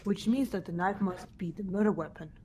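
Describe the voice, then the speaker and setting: Woman doing calm logical student in a game, formal, mid pitch, slight background noise. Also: a little fast, close to an everyday voice. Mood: composed. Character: composed, rational, formal female student. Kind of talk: amateur video game voice acting.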